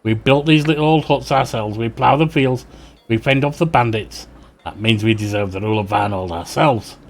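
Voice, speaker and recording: A man's voice: medium pitch, moderate pace, a little hiss or hum, close to an everyday voice. A man voicing a scornful, defiant rebel militia leader of a farming village.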